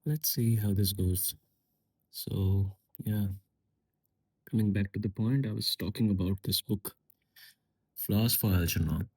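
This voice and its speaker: A man hosting a solo podcast, speaking in a musing, unhurried way with pauses and fillers; English is not his first language. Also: on the low side, steady tone, a little mellow, husky. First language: a language other than English and Hindi. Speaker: podcast host. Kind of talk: reflective solo podcast monologue.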